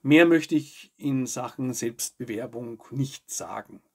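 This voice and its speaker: Husky, creaky man presenting. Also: medium pitch, slow pace, darkish tone. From Austria. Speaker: host of a weekly news video show. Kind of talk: scripted news commentary to camera.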